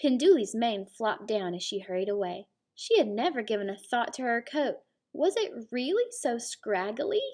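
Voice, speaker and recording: Woman, higher-pitched, teacher's read-aloud, simple explanations, speaking to small children. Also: very animated, moderate pace, a little mellow. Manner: explanatory, addressing young children.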